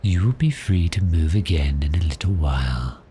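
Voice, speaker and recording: A man voicing a suave, teasing vampire in an ASMR roleplay, speaking in a breathy, seductive half-whisper with polite menace. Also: low voice, animated, normal speed, dark tone, slight background noise. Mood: soothing, commanding. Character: cheerful predatory male vampire, suave and teasing. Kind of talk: ASMR roleplay, slow intimate monologue.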